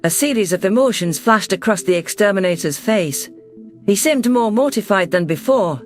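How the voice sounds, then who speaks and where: Sci-fi story narrator: man playing a composed, caring alien woman in charge, high and gentle voice, light music bed. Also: expressive, moderate pace. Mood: concerned. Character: caring, composed female alien leader with paws. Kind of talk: first-person sci-fi story narration and dialogue.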